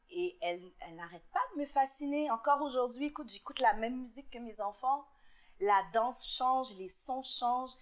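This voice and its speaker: A woman from Montreal with a Québécois accent, answering interview questions in an earnest, reflective way, often pausing with fillers and repeating words. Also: a little high. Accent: Québécois French. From Montreal, Quebec. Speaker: interviewee, entrepreneur.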